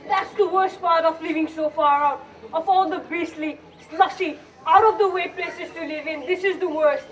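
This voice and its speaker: A woman's voice: extremely high pitch, fairly even intonation, normal speed, a bit bright. A young woman playing a grumbling, hospitable father in an amateur school stage play. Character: grumbling, hospitable middle-aged father and husband. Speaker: student actor in a school play. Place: school stage, recorded from a distance. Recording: distant noisy school stage recording.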